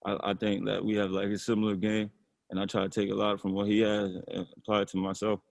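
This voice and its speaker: A young man, a college basketball player, answering interview questions in a relaxed way and often repeating words as he thinks. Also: on the low side, fast, vocal fry, no echo. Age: young adult.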